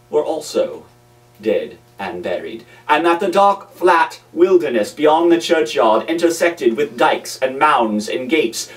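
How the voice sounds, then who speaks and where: A man giving a dramatic first-person reading of a classic novel, with theatrical, deliberate phrasing. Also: fairly high pitch, distant mic, rough recording. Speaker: reader.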